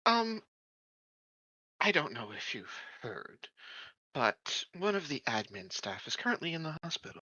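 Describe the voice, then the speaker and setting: A man's voice: fairly high pitch, big pitch swings, unhurried, long pauses, bright, forceful tone, breathy edge, dry recording, voice pushed up, heavily acted. A man voicing an earnest, secretive non-human colony security officer in a tabletop game, polite and hesitant, on a dull, dry recording.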